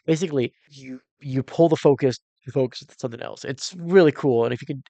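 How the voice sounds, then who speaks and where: Gravel-edged man reacting casually. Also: mid-range voice, darkish tone, no room sound. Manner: informal, exclamatory, thinks aloud. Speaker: reaction video host; cinematographer. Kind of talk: casual reaction commentary, explaining camera techniques.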